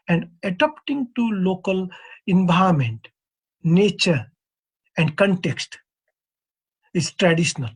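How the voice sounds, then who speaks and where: A man in his fifties from East Nepal with a Nepali accent, giving a measured, earnest conference presentation as an earthquake engineer. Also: high-pitched, husky, rough recording. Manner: measured, earnest, poses questions to the audience.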